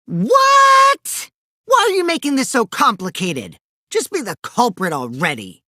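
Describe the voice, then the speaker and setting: A man's voice: extremely high pitch, wildly swooping pitch, a little slow, sharp tone, no room sound, clean studio sound, exaggerated voice. A man voicing a mischievous, taunting teenage boy schemer in a video game, with a light boyish voice and a theatrical, teasing delivery. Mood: mocking, playful. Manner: theatrical, teasing, swinging between sing-song and cold. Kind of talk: animated video game dub acting.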